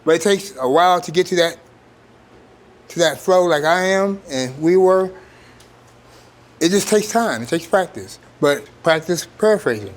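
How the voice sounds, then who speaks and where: A man's voice: on the high side, animated, moderate pace, long pauses. A man speaking as a trainer in an informal workshop, plain-spoken and repeating phrases for emphasis. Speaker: trainer, program coordinator.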